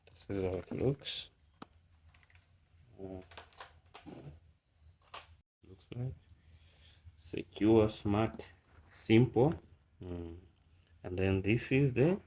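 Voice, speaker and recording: A man presenting a casual hands-on unboxing and setup tutorial, speaking haltingly in short phrases and murmuring as he works. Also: low voice, a little mellow. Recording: clear background noise with clicking.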